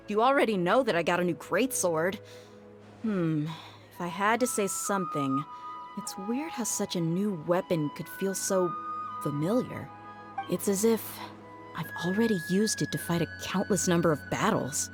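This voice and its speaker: A woman's voice: on the low side, animated, moderate pace, over music, close to an everyday voice. Woman playing a frank, confident young warrior woman. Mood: thoughtful. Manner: frank, self-assured, matter-of-fact. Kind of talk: anime fantasy game voice acting.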